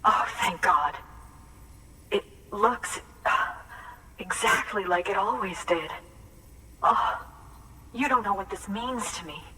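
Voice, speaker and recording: A woman's voice: medium pitch, takes it slowly, pauses often, bright, forceful tone, distant mic, a little hiss or hum, low-quality recording. An actress playing an earnest, anxious photographer who carries on her late father's work, in naturalistic video game acting. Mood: relieved, grateful. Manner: earnest, hesitant, heartfelt storytelling.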